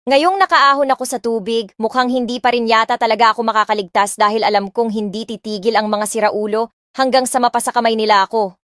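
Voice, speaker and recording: Expressive woman narrating a romance audiobook. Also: on the high side, fast talker, no room sound, clean studio sound. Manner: expressive, mixing two languages.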